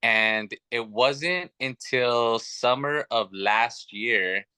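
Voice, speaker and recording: A man's voice: medium pitch, drawn-out words, sharp tone, clear, resonant tone, dry recording. A man in his thirties, a guest telling his family story in an earnest, chatty way with many fillers. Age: 30s. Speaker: podcast guest. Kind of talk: casual chat.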